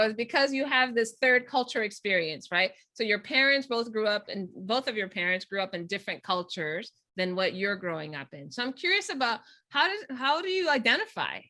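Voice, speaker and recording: Woman, interview host, bright voice, asks questions while thinking aloud. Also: on the high side.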